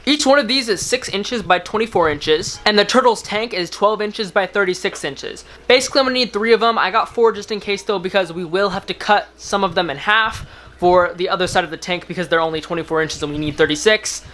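A man's voice: high voice, fast talker, bright, forceful tone. A young adult American man chatting casually as an aquarium hobby vlogger, talking to a camera at home. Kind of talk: casual vlog chat and narration to camera. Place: mostly indoors in a home room with fish tanks.

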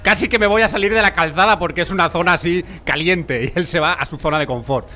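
A man does comic gameplay commentary in a Spain Spanish accent. His voice is high and bright, his delivery animated and colloquial. There is faint noise behind him. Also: fast talker. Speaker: gaming video creator.

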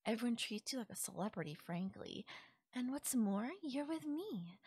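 A woman's voice: on the high side, animated, moderate pace, darkish tone, husky, no echo, clean studio sound. A woman playing a possessive, doting fairy captor in an intimate ASMR audio roleplay, speaking sweetly and lilting, sometimes nearly whispering. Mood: soft, possessive.

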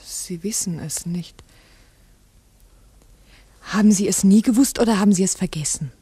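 An actress playing an earnest village schoolteacher in a 1960s radio drama, asking careful, polite, probing questions. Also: female voice, mid-range voice, normal speed, mellow, slightly rough, no echo.

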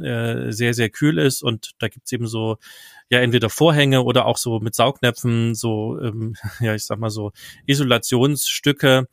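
A man in his thirties co-hosting a podcast, giving practical advice in a relaxed way with many fillers. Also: mid-range voice, creaky, no echo, studio quality. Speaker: podcast co-host. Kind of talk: casual advice chat. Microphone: headset and studio mic.

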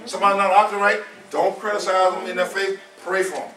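Man, 70s, preacher, high voice, repetitive, emphatic, far-off, echoey room. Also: little pitch movement, fast talker, bright, forceful tone. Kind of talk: informal sermon. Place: small church hall. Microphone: far camera.

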